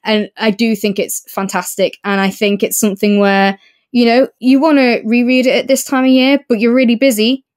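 A woman's voice: on the high side, steady tone, normal speed, no echo, studio quality. A woman co-hosting a podcast, chatting casually and effusively about books. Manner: enthusiastic, effusive. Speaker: podcast co-host. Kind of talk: casual book review discussion.

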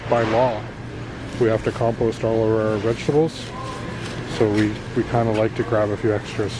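A middle-aged man, chatting casually as a vlogger on a handheld camera. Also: mid-range voice. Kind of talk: casual shopping vlog chat. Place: large indoor warehouse store. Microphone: handheld camera microphone. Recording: loud warehouse store ambient noise. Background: loud store ambience.

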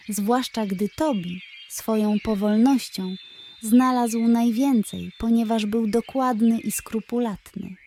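A woman reads a children's bedtime story as narrator. Her voice is fairly high, and she takes the scripted lines very slowly. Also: warm tone.

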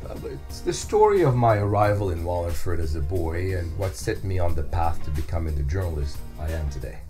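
A middle-aged man playing an earnest hometown journalist in a scripted podcast, telling local history in a measured way with deliberate pauses. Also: low-pitched, expressive, over music. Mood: earnest, reflective. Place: small indoor podcast studio. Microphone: close studio microphone on a stand.

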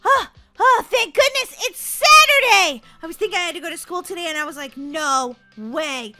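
A woman's voice: high voice, fast talker, pushed and bright, soft background music. A woman voicing a dramatic, whiny young schoolgirl in a childish game roleplay skit. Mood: relieved, musing.

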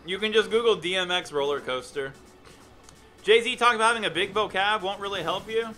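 A young man talks casually over his game stream, seated at his desk in a room, his voice bright and forceful and set slightly high. He sounds a little phlegmy as he chats and reads chat aloud. Faint music plays behind him. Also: a little fast. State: phlegmy throat. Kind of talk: casual gameplay commentary. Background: music playing.

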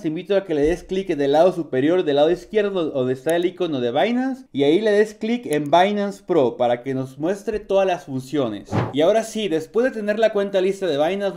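Man, 30s, high voice, mellow tone, patient tutorial narration, speaking directly to viewer. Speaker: crypto tutorial host. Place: small dark room with foam panels, indoors.

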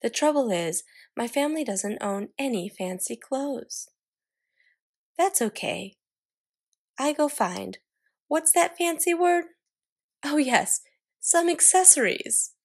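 Woman as little girl, excitable, fancy-loving, high-pitched, exclaiming, storybook narration. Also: heavily acted. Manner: dramatic, exclaiming, storybook delivery.

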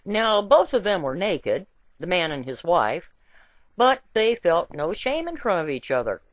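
A woman reading a scripted essay with quotations in a deliberate, emphatic and wry storytelling way. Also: fairly low pitch, sing-song, no echo, lo-fi sound. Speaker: reader.

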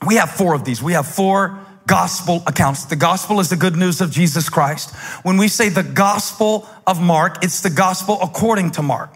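A man in his forties preaching a sermon on a church stage into a handheld mic, telling a story and then building to loud, fervent emphasis. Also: high-pitched, normal speed, slightly rough. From United States. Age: 40s. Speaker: pastor. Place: large stage in a church hall. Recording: long hall reverb.

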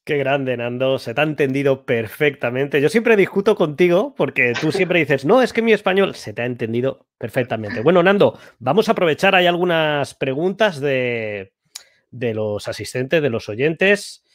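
A man's voice: medium pitch, expressive, moderate pace, no room sound, clean studio sound. A man hosting a live online Q&A, speaking in an upbeat, friendly way into a headset mic on a video call. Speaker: event host.

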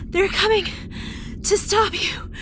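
A woman's voice: extremely high pitch, fairly even intonation, takes it slowly, a bit bright, a little hiss or hum, heavily acted. A woman voicing an alarmed, anxious minion of a monstrous villain in an audio horror drama. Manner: anxious, urgent.

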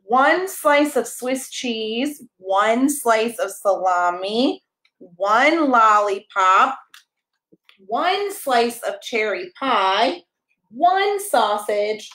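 High-pitched woman reading slowly to a toddler. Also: echoey room, rough recording. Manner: encouraging, expressive, coaxing with questions. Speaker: pastor reading a children's story. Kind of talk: interactive picture-book read-aloud to a toddler. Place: small carpeted room at home, indoors. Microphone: camera a step or two away.

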